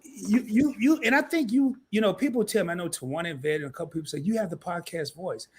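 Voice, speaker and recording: Man, live-stream host, casual slangy talk, slightly scratchy voice, lively rising pitch. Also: fast talker. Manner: animated, slangy and laughing at himself. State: scratchy voice tonight.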